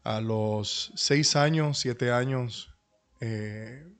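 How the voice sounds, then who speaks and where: A middle-aged man, speaking as a pastor in a humble, courteous and deliberate way to an online class. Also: medium pitch. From Dominican Republic and New York. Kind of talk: introductory talk at an online church leadership class. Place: online video call.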